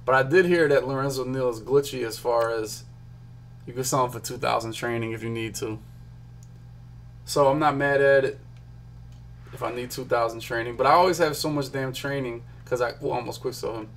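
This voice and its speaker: A young man from Philadelphia, a gaming streamer, chatting casually and excitedly to his chat while opening card packs in a small room at home. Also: medium pitch, brisk. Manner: chatty, hyped, talks to chat while clicking. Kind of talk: casual pack-opening commentary.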